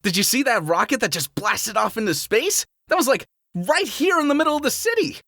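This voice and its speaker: A woman playing a cocky, excitable young male hedgehog hero in a fan audio drama, with brash, playful, slangy delivery. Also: a little high, a little fast, no echo, clean studio sound. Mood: excited.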